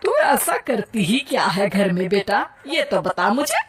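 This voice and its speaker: A woman's voice: mid-range voice, huge pitch swings, a little slow, slightly rough, heavily acted. Woman doing a bossy mother-in-law. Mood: scolding. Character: stubborn middle-aged mother-in-law, proud.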